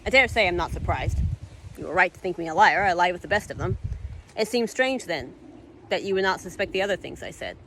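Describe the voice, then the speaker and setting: A woman voicing a cruel, composed male villain in a story, hushed and dark, with a taunting, deliberate delivery. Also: fairly low pitch, expressive, slight background noise, rough recording. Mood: sly, smug. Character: sly, cruel male fire-wielding villain, menacing and composed.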